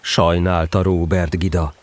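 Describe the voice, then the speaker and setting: A man narrating a scripted children's story as an expressive storyteller. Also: sits low.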